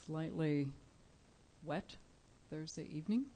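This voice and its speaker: A woman from Cuba, a Spanish speaker, giving a live poetry reading, measured and deliberate with soft pauses. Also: low-pitched. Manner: measured, deliberate, pausing often with soft fillers. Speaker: featured poet. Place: indoor live reading event.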